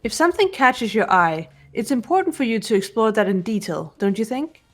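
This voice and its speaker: A woman's voice: fairly high pitch, lively intonation, brisk. A woman voicing a composed, unemotional teenage girl investigator in a visual novel game, speaking precisely and matter-of-factly. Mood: calm, instructive. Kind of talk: scripted visual novel voice acting.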